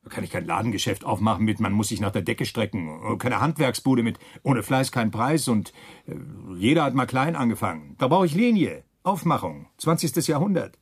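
A man's voice: mid-range voice, expressive, normal speed, hardly any pauses, slightly rough, dry recording. An actor playing a pushy, persuasive male wartime schemer in a German radio play, chummy with a veiled threat. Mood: scornful, grandiose.

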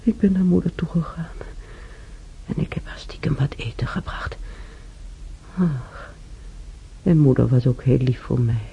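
An older woman acting an ailing, weary old woman reminiscing alone in a radio drama, halting and sighing, with a breathy voice. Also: extremely low pitch, expressive, whispered, full character voice. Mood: troubled. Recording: dull radio recording, faint noise.

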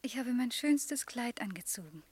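A woman's voice: on the high side, animated, normal speed, darkish tone, breathy edge. An actress playing a dreamy, devoted young woman in love in a German radio play, wistful and intimate. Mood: tender, trusting.

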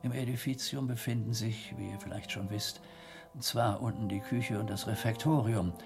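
An actor playing a worried, dignified older abbot in a German radio drama, speaking formally and guardedly with a gravelly, husky voice. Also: male voice, on the low side, fairly even intonation, normal speed, over music, natural voice. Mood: guarded, insistent. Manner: solemn, guarded, hesitating before grave words.

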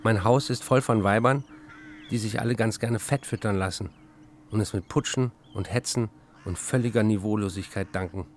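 An actor playing an embittered middle-aged writer, reading diary entries and letters in an articulate, literary way. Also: male voice, on the low side, normal speed, slight background noise, natural voice. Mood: bitter.

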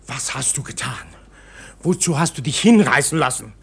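Older uncle: fussy, alarmed, urgent, very animated pitch with some husk. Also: male voice, normal speed, creaky, breathy edge, exaggerated voice. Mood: reproachful, frantic. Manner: agitated, urgent, repeats words insistently. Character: fussy, alarmed older uncle anxious about family honour. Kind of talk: radio drama acting.

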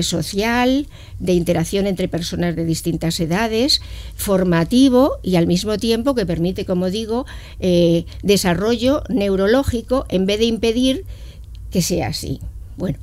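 A woman's voice: medium pitch, darkish tone. A woman speaking for a community association in a radio studio interview, explaining earnestly with frequent hesitations. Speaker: association representative.

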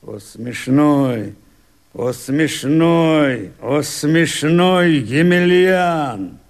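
A man acting a weary, mocking rebel who defies his leader, declaiming verse in a radio play with a creaky voice. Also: on the high side, drawn-out words.

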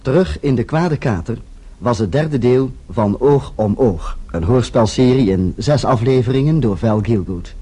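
A man's voice: medium pitch, animated, a little slow, mellow, slightly rough. A man reading scripted closing credits as a radio drama announcer.

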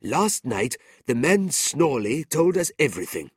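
A man's voice: sing-song, a little slow, husky, dry recording, studio quality. A man voicing a kindly, earnest elderly merchant in a storybook reading. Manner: earnest and raised in pitch.

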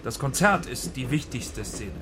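A man's voice: mid-range voice, lively intonation, unhurried, noisy background. An actor playing a self-assured, pedantic film director in a radio drama, explaining his vision. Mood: keen, explanatory.

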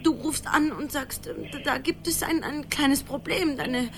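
An actress in a radio play playing an emotional, exasperated but caring friend who speaks haltingly, scolding and soothing. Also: female voice, high voice, over music. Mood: upset.

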